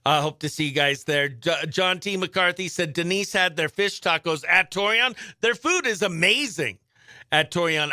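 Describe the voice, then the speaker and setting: A man's voice: fairly high pitch, pushed and bright, clean tone, no room sound, clean studio sound. A man hosting casual morning radio chat in an upbeat, effusive, chatty manner. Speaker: radio host; stand-up comedian. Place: radio studio.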